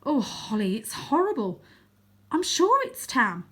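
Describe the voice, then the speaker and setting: A woman's voice: high-pitched, sing-song, a little slow, a bit bright, voice pushed up, full character voice. A woman voicing an earnest, anxious young male cat in a children's storybook, plaintive and urgent. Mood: anxious, pleading. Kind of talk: children's storybook character reading.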